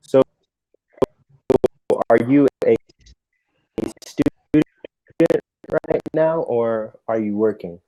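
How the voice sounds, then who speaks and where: A man's voice: medium pitch, extremely slow, pauses often, dark tone, low-quality recording. An American man working as an English tutor, chatting casually and patiently in an encouraging, informal way. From United States. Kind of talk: casual conversational lesson.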